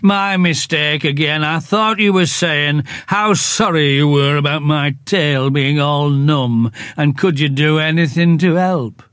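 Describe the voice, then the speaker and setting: A man voicing a gloomy old male donkey who speaks slowly and mournfully, full of self-pity. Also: on the high side, a bit bright, smooth, no echo, studio quality. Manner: slow, drawn-out, self-pitying.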